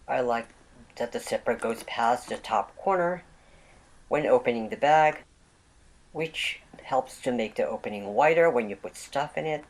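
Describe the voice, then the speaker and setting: A vlog host narrating a product unboxing with a voice left raspy by a lingering cold. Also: mid-range voice, rough recording.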